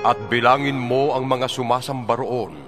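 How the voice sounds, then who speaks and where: A man playing a solemn divine voice in a Bible audio drama, commanding with measured authority. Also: mid-range voice, steady tone, over music, close to an everyday voice. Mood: solemn, authoritative.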